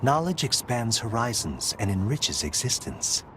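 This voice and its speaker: A man playing a calm, erudite older-brother android in a video game, measured, formal and patient. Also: medium pitch, a little hiss or hum, low-quality recording. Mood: patient, superior. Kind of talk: English video game voice acting.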